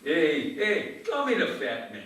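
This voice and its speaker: Casting: wheedling fat-man bully boss male actor, highish and animated, stage radio comedy. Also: a little slow, exaggerated voice. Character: jovial fat-man boss, straight-talking small-town bully. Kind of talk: live radio comedy theater. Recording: echoey theater hall from far off.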